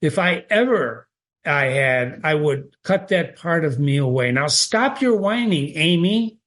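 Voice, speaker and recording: A man's voice: a little high, animated, measured pace, fairly bright tone. A man reading the lines of a cold, stern woman who speaks in clipped words.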